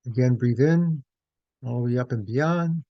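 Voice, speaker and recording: A man leading a guided meditation as instructor, speaking in measured, gentle phrases with pauses. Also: mid-range voice, no room sound, dull audio.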